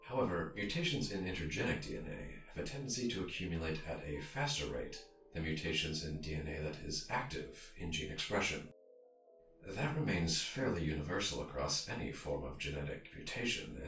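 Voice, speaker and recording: A man playing a curt, impatient scientist in an audio drama, formal and precise, in an echoey space with music behind him. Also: extremely low pitch. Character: curt, impatient male scientist, dry and learned. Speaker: doctor of science. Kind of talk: audio fiction dialogue.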